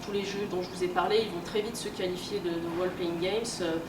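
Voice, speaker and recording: Woman, informal lecture, conversational and halting, distant microphone, clear background noise. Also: lowish voice, fairly even intonation. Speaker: conference speaker, game publisher and researcher. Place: convention talk room, indoors. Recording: echoey room from afar, strong background noise.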